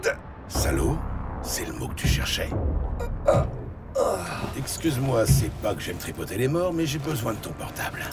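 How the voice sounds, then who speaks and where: A man's voice: medium pitch, big pitch swings, normal speed, pauses often, close to an everyday voice. A man playing a corrupt, mocking police lieutenant in a French audio crime drama, menacing behind false friendliness. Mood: cold, mocking. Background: loud music.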